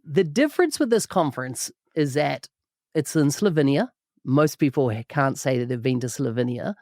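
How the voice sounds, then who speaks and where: A man's voice: medium pitch, animated, normal speed, a little mellow, dry recording, studio quality. A man hosting a podcast, enthusiastic and full of praise. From New Zealand. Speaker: podcast host. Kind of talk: podcast interview.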